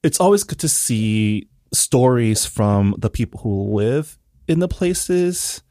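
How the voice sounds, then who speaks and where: A man from Hawaii co-hosting a book podcast, speaking in a relaxed, casual way with many fillers. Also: mid-range voice, slow pace, dry recording, studio quality. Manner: relaxed, filler-heavy, laughs along. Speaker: podcast co-host.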